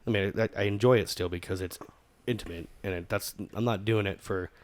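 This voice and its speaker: A man's voice: fairly low pitch, fairly quick, a little hiss or hum. A young adult man hosting a casual podcast, rambling a bit with restarts and crude jokes. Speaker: podcast host. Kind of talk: casual chat. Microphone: XLR studio mic.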